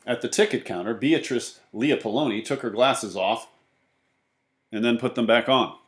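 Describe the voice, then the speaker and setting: A man narrating a children's book as an animated storybook reader. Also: medium pitch, moderate pace. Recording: roomy, echoey sound.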